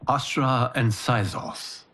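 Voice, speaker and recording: An actor playing a solemn, divine protector of the realm in a video game, speaking in measured, formal, commanding phrases. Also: male voice, medium pitch, steady tone, fairly bright tone. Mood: calm, commanding. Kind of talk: cinematic video game acting. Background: dramatic music.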